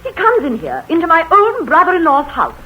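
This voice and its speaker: A woman's voice: extremely high pitch, huge pitch swings, brisk, full character voice. An actress playing an indignant, snobbish older mother who complains in a huffy, theatrical way, in a 1940s radio drama. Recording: dull old radio broadcast recording.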